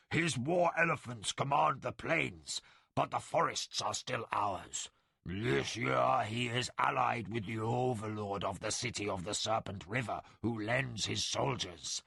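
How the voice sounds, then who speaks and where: A proud tiger-man warrior chief with a slow, low, snarling delivery, played by a male narrator. Each word drags out with a gravelly growl, fierce but wary. The recording is dry and close. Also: bright, forceful tone, no room sound, full character voice.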